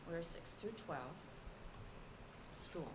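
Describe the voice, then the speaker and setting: A woman school executive director giving a brisk, businesslike briefing at a public board meeting. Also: fairly low pitch, lively intonation. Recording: noisy meeting room audio.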